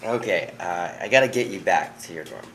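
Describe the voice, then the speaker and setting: A man's voice: low voice, lively intonation, a little fast, a bit bright, slight background noise. A man playing a guarded, troubled young student with dry humour in a script table reading. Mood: wry, patient.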